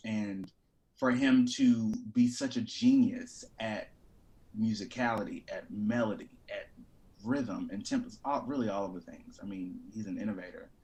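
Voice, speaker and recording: A man in his twenties, an actor, talking casually in an animated, playful and informal way. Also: lowish voice, moderate pace, low-quality recording. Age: 20s. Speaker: actor and theater artist, guest. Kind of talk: casual conversation.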